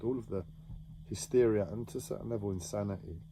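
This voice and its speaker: A man talking slowly and haltingly about his own experiences in a reflective monologue, with many pauses and restarts. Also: fairly low pitch, fairly even intonation, warm tone, a little hiss or hum. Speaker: livestreamer sharing a personal story. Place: home.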